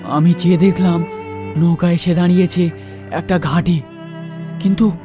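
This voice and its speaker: A man's voice: sits high, steady tone, moderate pace. A man narrating a scripted ghost story dramatically, as the host of a story channel. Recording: music under the voice.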